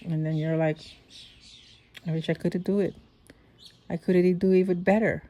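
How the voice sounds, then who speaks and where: Rambling woman, mellow voice. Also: fairly low pitch, level tone, moderate pace. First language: Dutch. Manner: rambling, thinking aloud with many restarts. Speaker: podcast host. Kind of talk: casual voice-note monologue.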